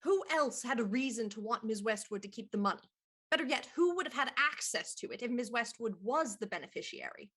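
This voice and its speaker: An actress playing a composed, shrewd police inspector in a period radio murder mystery, with a dry, deliberate delivery. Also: female voice, fairly high pitch, animated, a bit bright, breathy edge. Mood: confident deduction. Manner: deliberate, dry, theatrical deduction.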